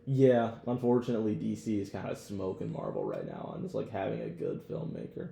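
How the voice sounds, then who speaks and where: A young American man chats casually as a podcast co-host, rambling in slang. His voice sits low, with a gravelly creak. The recording is roomy. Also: huge pitch swings, fairly quick, warm tone. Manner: rambling, heavy use of "like" and "bro". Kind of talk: casual, slangy pop-culture chat. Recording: roomy echo, clear background noise.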